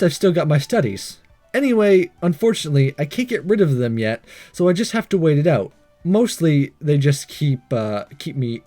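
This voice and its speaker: A man's voice: a little high, mellow. A man hosting a let's play, reading visual novel dialogue aloud in a playful, theatrical way with joking asides. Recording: game music behind.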